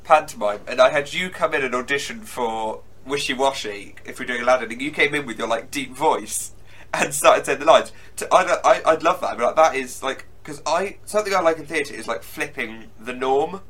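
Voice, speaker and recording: Young guy hosting a podcast, bright punchy voice, chatty and excited. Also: medium pitch. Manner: chatty, enthusiastic, quick restarts. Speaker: podcast host. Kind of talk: casual chat.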